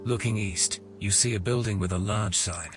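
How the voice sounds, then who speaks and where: Low-voiced male fantasy game narrator. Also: moderate pace. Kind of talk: scripted second-person fantasy game narration. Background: music.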